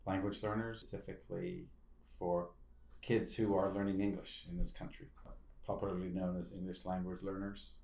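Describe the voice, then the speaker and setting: A middle-aged man who develops products for language learners, speaking in a measured interview manner at home. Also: deep voice, flat delivery, creaky, a little mellow, echoey room. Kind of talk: interview statement.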